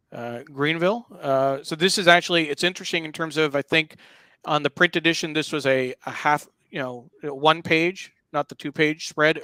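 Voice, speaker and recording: A man hosting a casual live talk show, explaining what he is showing in a halting, explanatory way. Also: fairly high pitch, pushed and bright, no room sound. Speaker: show host. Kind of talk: casual live talk walking through a newspaper.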